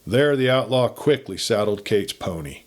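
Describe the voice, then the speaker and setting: A man's voice: on the low side, animated, normal speed. A man reading a western story aloud as a dramatic audiobook narrator.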